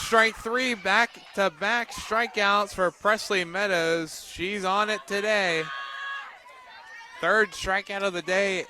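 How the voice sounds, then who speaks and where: A man doing live radio play-by-play of a softball game as the announcer. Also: sits high. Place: outdoors at a ballfield, on a platform by tables. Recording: outdoor ballfield noise behind the voice. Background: loud outdoor ambience.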